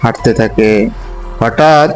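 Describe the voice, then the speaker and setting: A man with a medium-pitched voice narrates a scripted horror tale as storyteller. Soft music plays underneath. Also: slow, rough recording. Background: background music.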